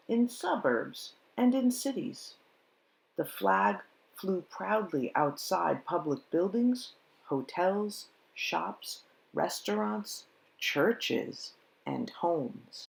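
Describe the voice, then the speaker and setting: A woman reading a children's picture book aloud in measured, deliberate phrases with dramatic pauses. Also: on the low side, sing-song, gravelly, low-quality recording. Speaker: storybook reader at a church service.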